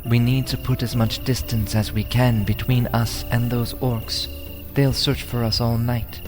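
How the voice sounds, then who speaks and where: A man's voice: medium pitch, little pitch movement, unhurried, over music, close to an everyday voice. A man acting a wary, serious archer who leads adventurers in a fantasy audio drama, with a cautious manner. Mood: wary, urgent. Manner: cautious, reluctant.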